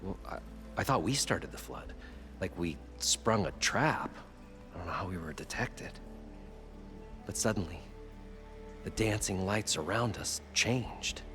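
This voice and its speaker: An actor playing an eager, chatty salvager in a video game cutscene. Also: male voice, deep voice, expressive, measured pace, pauses often, music in the background. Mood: recounting. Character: eager older male salvager, folksy and chatty.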